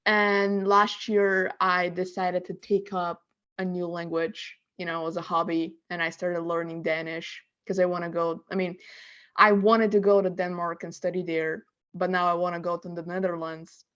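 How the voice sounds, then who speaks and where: A woman's voice: lowish voice, level tone, normal speed, a bit bright, lo-fi sound. A woman with a Bulgarian accent, a native Bulgarian speaker, chatting cheerfully on a video call in a group discussion. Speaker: participant.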